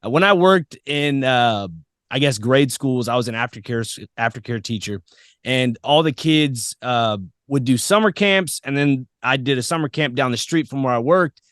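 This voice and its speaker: A man's voice: medium pitch, lively intonation, moderate pace, a bit bright, clean tone, dry recording, clean studio sound. A man hosting a podcast in a casual, informal, anecdotal chat style. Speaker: podcast host.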